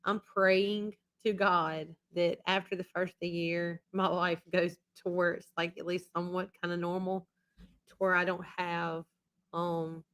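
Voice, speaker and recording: Woman, low voice, little pitch movement, rambling casual live chat, voice wearing out. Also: little pitch movement, unhurried, fairly bright tone. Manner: rambling and repetitive. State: losing her voice after being sick. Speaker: YouTube channel host.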